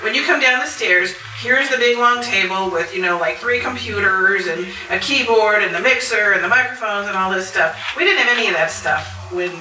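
A woman talks casually as a guest on a live panel, with an animated storytelling manner. Her voice sits at a middle pitch, a little away from the mic with clear echo around it. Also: fairly quick, few pauses, slight background noise. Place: outdoor patio at a convention. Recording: roomy, distant outdoor live panel recording.

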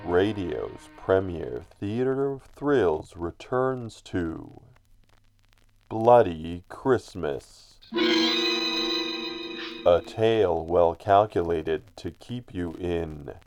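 A man's voice: sits low, lively intonation, very slow, dark tone, lo-fi sound, soft background music. A man narrating a crime radio drama in an ominous, theatrical manner. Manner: ominous, theatrical, addresses characters directly.

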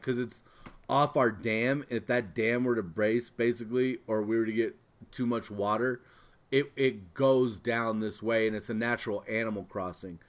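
A man chatting casually as a podcast co-host, telling jokey, rambling stories. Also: medium pitch. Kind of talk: casual chat.

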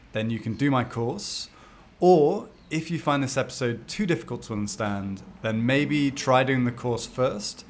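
A man in his thirties hosting a casual interview podcast for English learners, friendly and full of fillers, slowing down to explain words. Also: medium pitch, a little hiss or hum. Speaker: podcast host and English teacher.

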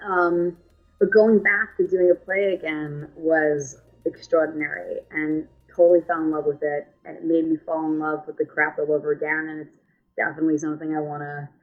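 A chatty woman in her thirties with a heavy New York accent and a lowish voice. She talks freely, with plenty of fillers and quick laughs. The audio sounds a bit dull and muffled. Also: little pitch movement, faint music behind. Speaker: actress guest. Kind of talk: casual interview chat.

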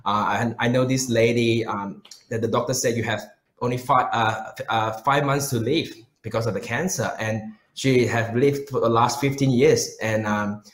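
A man's voice: mid-range voice, steady tone, moderate pace, few pauses, a bit bright. A man with a Malaysian accent, speaking earnestly as a pastor giving personal answers in an interview. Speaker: pastor and missionary guest. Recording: roomy, echoing sound.

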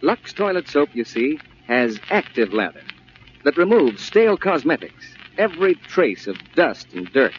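A man delivers scripted radio copy in a polished, persuasive 1940s announcing style. His pitch is very lively. The recording is dull, with a faint hiss. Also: moderate pace. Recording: muffled, hissy vintage radio recording.

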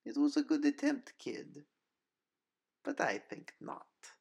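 A man's voice: on the high side, wildly swooping pitch, slow pace, vocal fry, darkish tone, slightly rough, pitched above the performer's own voice, exaggerated voice. A man voicing a sly, amused female vampire villain in an audio story, with a mocking, condescending manner. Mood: amused menace. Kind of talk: audio story character dialogue.

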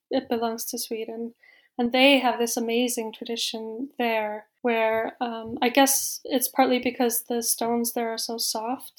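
A woman explaining her field as an interview guest, in an informal, conversational way with hedging words. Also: a little high. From Iceland. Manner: informal, hedging with "like" and "you know". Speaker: interview guest, Viking scholar and author.

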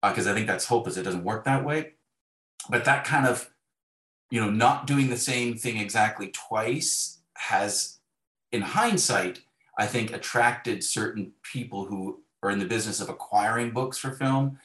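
Echoey, low-voiced man in conversation. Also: moderate pace. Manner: animated storytelling, acting out imagined dialogue. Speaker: guest author. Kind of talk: conversational interview. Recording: roomy, echoey sound.

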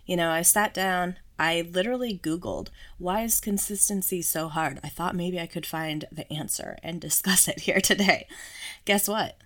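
Low-voiced woman, sick and slightly hoarse. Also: normal speed, fairly bright tone. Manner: chatty, self-deprecating, laughs often. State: sick, voice a little off. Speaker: podcast host. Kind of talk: casual solo podcast chat.

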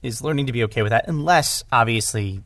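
Man, gravelly fry, close dry sound. Casual podcast talker with self-deprecating, animated asides. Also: mid-range voice, fast, dry recording. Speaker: podcast guest, audio drama writer and director. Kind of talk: casual conversational discussion.

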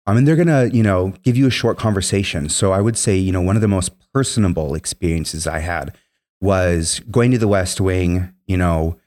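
Deep-voiced young man, earnest, filler-heavy. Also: moderate pace, a little mellow. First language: Spanish. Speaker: guest, former military member. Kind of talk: casual interview storytelling.

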